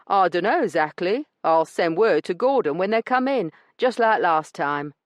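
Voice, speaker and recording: Woman putting on a sneering lowlife crook. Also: expressive, moderate pace, dry recording, lightly acted. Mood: insolent. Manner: drawling, insolent, lower-class speech. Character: skinny smirking male dockside crook.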